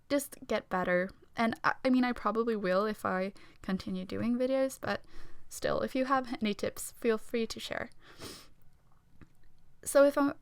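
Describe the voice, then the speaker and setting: A young woman from Sweden with a Swedish accent, talking quietly and self-consciously over her speed drawing, with small nervous laughs. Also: fairly high pitch, clear, resonant tone, dry recording. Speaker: art vlogger. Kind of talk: casual voice-over talk during a speed drawing. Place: home room.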